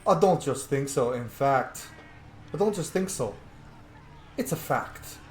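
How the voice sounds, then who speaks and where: A man's voice: medium pitch, expressive, measured pace, roomy sound, faint music behind. A man doing casual, playful and profane gameplay commentary while roleplaying. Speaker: gaming streamer playing a roleplay.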